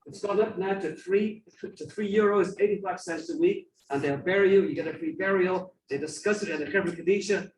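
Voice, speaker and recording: An Irish man giving an informal lecture in a conversational way, repeating phrases for emphasis. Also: sits high. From Ireland, grew up in Dublin. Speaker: guest lecturer. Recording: roomy, distant mic with faint noise.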